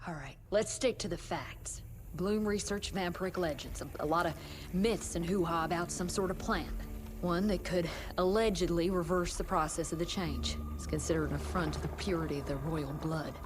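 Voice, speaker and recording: An actress voicing a commanding female doctor who talks in a sharp, stern, argumentative way. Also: fairly low pitch, moderate pace, noisy background, lightly acted. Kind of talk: video game cutscene acting.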